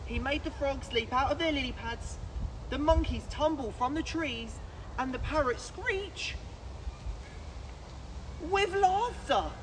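A man in his twenties reading a children's picture book aloud outdoors in an expressive, projected voice with dramatic pauses. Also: extremely high pitch. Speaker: children's story presenter. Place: outdoors in woods, standing. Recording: outdoor recording with clear background noise.

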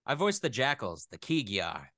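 A man's voice: medium pitch, very animated, moderate pace, pushed and bright, no echo, clean studio sound. A man, a voice actor, chatting casually as an interview guest, telling stories in a joking, self-deprecating way.

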